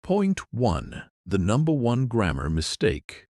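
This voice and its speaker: A man giving a scripted English lesson, explaining clearly and patiently in an encouraging way. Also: mid-range voice, wildly swooping pitch, slow pace, a little mellow, no echo, studio quality. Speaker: English teacher. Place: in Europe.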